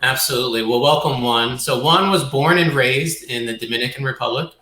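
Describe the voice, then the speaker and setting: A man's voice: medium pitch, fairly quick, pushed and bright, soft background music. An American man co-hosting a podcast, introducing and questioning a guest. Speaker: podcast co-host. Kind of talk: reading a guest introduction, interviewing. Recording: roomy, echoing sound.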